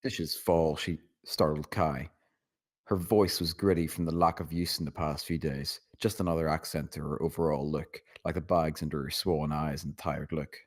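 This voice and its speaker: A man's voice: extremely low pitch, fairly even intonation, moderate pace, no room sound, studio quality. A man reading stage directions as scripted narration at a screenplay table read.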